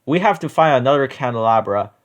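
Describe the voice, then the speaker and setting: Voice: mid-range voice, animated, fast talker, close to an everyday voice. A storybook reader voicing a cautious goat who worries. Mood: worried.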